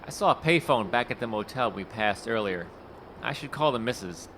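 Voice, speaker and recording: An actor playing a folksy, fast-talking male Hollywood talent scout with a salesman's patter in an audio drama. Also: mid-range voice, expressive, pushed and bright, slight background noise.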